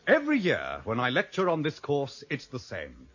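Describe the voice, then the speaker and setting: A man's voice: mid-range voice, huge pitch swings, normal speed, background noise. An actor playing an exasperated, sardonic male university lecturer in a radio drama, theatrical and emphatic. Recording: dull, muffled old radio drama recording.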